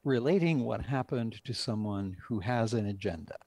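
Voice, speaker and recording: An older man, a writer and director, deliberately explaining his work with emphasis in an interview over a video call. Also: lowish voice, animated, mellow, slightly rough, dry recording. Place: indoor room on a video call.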